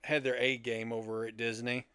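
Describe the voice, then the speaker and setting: A man's voice: mid-range voice, little pitch movement, normal speed, sharp tone, clean tone, no room sound. Older man chatting, close dry sound. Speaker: podcast co-host. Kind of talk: casual group chat about old films.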